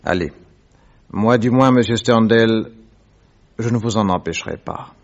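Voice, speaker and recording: Composed detective, low voice. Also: male voice. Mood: calm, judicial. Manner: measured, formal, authoritative. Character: composed gentleman detective passing lenient judgement. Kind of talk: French radio drama acting. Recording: dull, lo-fi old radio recording.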